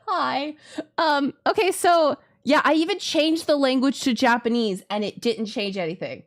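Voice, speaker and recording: A woman streamer chatting casually through a game, expressive and giggly, often swearing and interrupting herself. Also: high-pitched, unhurried. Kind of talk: live visual novel playthrough commentary, casual chat.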